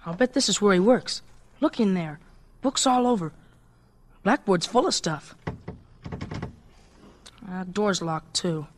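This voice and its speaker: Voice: mid-range voice, lively intonation, takes it slowly, pauses often, a little hiss or hum. Radio drama kid: American schoolboy, eager, frank, full of artless curiosity. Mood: excited, wondering. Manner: artless, eager, gee-whiz.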